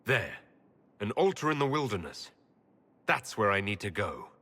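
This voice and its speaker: A man playing a resolute elven hero in a fantasy video game, solemn and dry, with measured dramatic delivery. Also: lowish voice, very animated, bright, forceful tone, exaggerated voice. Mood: determined.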